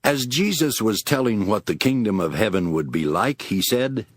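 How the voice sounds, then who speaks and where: Expressive low-voiced scripture narrator. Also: male voice, normal speed, dry recording, clean studio sound. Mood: sober. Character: male scripture narrator, sober and clear storyteller.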